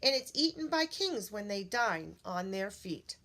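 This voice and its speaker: A woman's voice: medium pitch, sing-song, a little slow, fairly bright tone. Woman as a self-assured town cook, emphatic.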